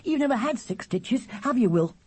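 A man voicing an excitable, eager small boy with a squeaky, piercing voice in a storybook reading. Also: normal speed, slightly rough, exaggerated voice. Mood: eager, excited. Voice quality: shrill, squeaky. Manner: endless eager questions, proud.

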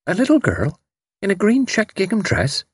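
A man's voice: on the high side, huge pitch swings, moderate pace, slightly rough, dry recording, clean studio sound, voice pushed up, heavily acted. A man voicing a gossipy, disapproving young English servant girl in an audiobook, colloquial, rambling and hesitant. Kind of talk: audiobook character voice.

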